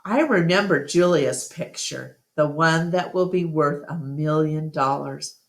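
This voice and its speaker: A woman narrating a children's book aloud as an expressive storytime reader. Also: low voice, unhurried.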